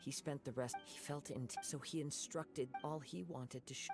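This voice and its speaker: Young male wandering swordsman for a game: composed, poetic, measured and formal, with loud music behind. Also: fairly high pitch, fairly even intonation, gravelly. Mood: reflective, composed. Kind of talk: video game voice acting.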